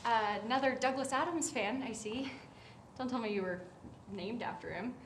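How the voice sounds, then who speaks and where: Highish voice, bubbly bookish student played by a woman, giggly, eager, hesitant, echoey room, faint noise. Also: normal speed, close to an everyday voice. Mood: dry, curious. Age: young adult. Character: bubbly, bookish young female college student.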